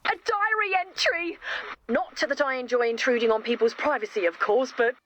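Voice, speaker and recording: A woman's voice: high voice, moderate pace, fairly bright tone, slight background noise. A woman playing an eager, kindhearted young inventor in a video game, bubbly and theatrical. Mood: curious, excited. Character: eager, kindhearted young female inventor, excitable and well-meaning. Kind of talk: animated video game character dialogue.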